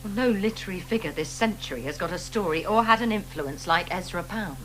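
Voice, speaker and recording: A woman's voice: lowish voice, fairly quick, fairly bright tone, faint music behind, close to an everyday voice, rough recording. An actress playing an inquisitive woman who asks probing questions. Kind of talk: radio drama acting.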